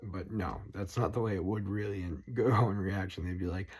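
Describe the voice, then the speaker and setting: A man's voice: low-pitched, a little fast, a little mellow, husky. A man with a Boston accent ranting at length, swearing constantly and rambling. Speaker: political vlogger. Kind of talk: profane rambling rant to camera.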